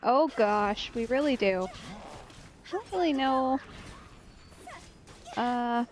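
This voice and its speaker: Unhurried, higher-voiced woman streamer. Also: expressive, slight background noise. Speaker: streamer, let's player. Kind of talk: casual game commentary.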